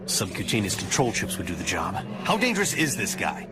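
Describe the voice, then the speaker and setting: A man's voice: on the low side, huge pitch swings, a little fast. A man voicing a sarcastic, irritable superhero in a video game, with a clipped delivery. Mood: businesslike. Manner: sardonic, clipped. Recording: loud music over the voice.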